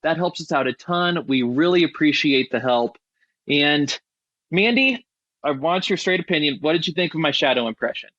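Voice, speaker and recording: A man's voice: on the high side, animated, moderate pace, pushed and bright, no room sound, highs cut off. Chatty male podcast host, close dry sound. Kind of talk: casual podcast chat.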